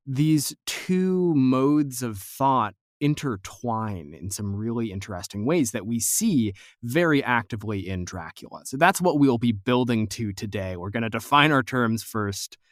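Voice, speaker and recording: Male interviewer, mid-pitched and dry-recorded, conversational and organized podcast delivery. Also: no echo.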